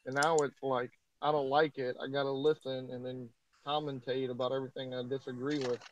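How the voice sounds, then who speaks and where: A man's voice: mid-range voice, flat delivery, brisk, highs cut off. A man chatting casually on a live stream, explaining things patiently step by step. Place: Texas.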